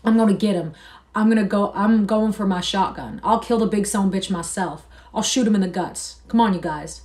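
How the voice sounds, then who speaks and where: A woman reading aloud and voicing a hot-tempered young man who shouts threats in a fury. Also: lowish voice, a little fast, natural voice. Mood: furious.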